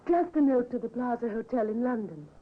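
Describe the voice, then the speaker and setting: An actress as a young wife in her twenties in a vintage radio play. Her fairly high voice is earnest and theatrical. It comes through thin and tinny, with slight hiss. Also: animated, a little fast, lightly acted. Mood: matter-of-fact. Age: 20s. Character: devoted-seeming young wife with a secret lover, emotional. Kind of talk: 1950s radio drama acting. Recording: tinny, hissy old radio recording.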